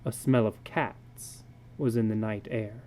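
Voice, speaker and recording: Casting: man for literary narration, dark and soft-edged tone, unhurried, measured dramatic delivery. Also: lowish voice, steady tone, a little hiss or hum. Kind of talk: dramatic literary story reading.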